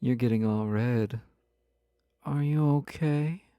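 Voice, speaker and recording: A man playing a slow-talking, flirty yandere husband. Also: mid-range voice, warm tone, no echo, studio quality, lightly acted. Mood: teasing. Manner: teasing, coy, smooth-talking with mock innocence. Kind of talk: intimate roleplay audio addressed to the listener.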